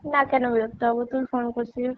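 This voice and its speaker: A woman's voice: a little high, steady tone, fast. A young woman, chatting casually with a friend over a lo-fi phone call. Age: young adult. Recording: dull lo-fi phone call audio.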